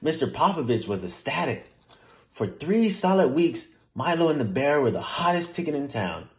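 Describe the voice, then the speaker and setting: A man's voice: on the high side, very animated, moderate pace, poor audio. A man reading a children's picture book aloud as narrator. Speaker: storybook reader. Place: small room at home, indoors.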